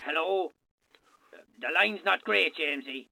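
An actor playing a neighbourly, halting Irish farmer on a phone call in a radio drama. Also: male voice, fairly high pitch, gravelly, bright, forceful tone, no room sound, heavily acted. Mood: concerned, hesitant. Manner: halting, solicitous. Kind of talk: naturalistic radio drama acting.

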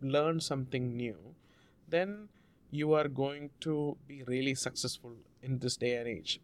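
Earnest man, smooth voice. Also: medium pitch. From India. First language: Malayalam. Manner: measured, earnest, drawn-out phrasing with restarts. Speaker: guest. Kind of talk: storytelling interview answer.

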